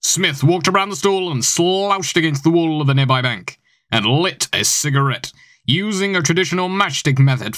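A teenage male narrator. His voice is fairly high and bright, recorded dry, delivering scripted comedy with theatrical deliberation. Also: dry recording. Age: teens. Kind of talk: dramatic scripted comic narration.

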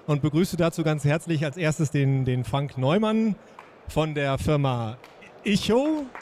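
A man's voice: fairly high pitch, animated, measured pace, darkish tone, a little hiss or hum. A man in his fifties hosting a trade fair session on a handheld mic, friendly and hesitant with many fillers. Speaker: session host. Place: trade fair booth indoors.

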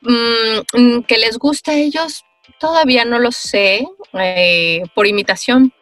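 A woman, a voice actress answering interview questions on a video call, thoughtful and animated, with many pauses and fillers. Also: fairly high pitch, dry recording, soft background music. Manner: animated, gestures while talking. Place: small room with foam panels.

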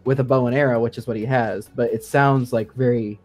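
A man's voice: mid-range voice, steady tone, a little fast, a little mellow, soft background music. A man speaking as a guest sound designer in a casual podcast discussion, explaining things informally and with enthusiasm.

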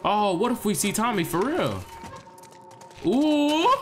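A young man with a stuffed-up nose giving animated, joking gameplay commentary to his viewers. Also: high-pitched, slow pace, faint music behind. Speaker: gaming streamer.

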